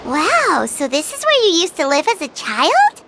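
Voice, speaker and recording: A woman voicing a tiny, excitable, childlike fairy companion in a video game, with a squeaky voice and bubbly manner. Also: a little slow, heavy background noise, heavily acted. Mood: perky. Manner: bubbly, refers to herself in third person. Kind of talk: video game character acting.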